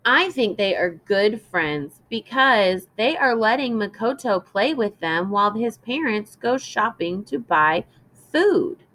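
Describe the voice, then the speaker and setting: A woman teacher reading a children's story aloud in an instructive, deliberate way, as in a lesson for young children. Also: mid-range voice, lively intonation, fairly bright tone. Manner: instructive, deliberate, prompting listeners with questions.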